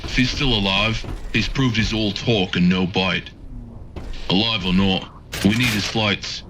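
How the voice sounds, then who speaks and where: Man acting a low-voiced, calculating rebel mentor. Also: fairly even intonation, music in the background, lightly acted. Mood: guarded, dismissive. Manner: deliberate, rhetorical speechmaking. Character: calculating, measured male rebel mentor, guarded and grave. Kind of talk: cinematic video game dialogue.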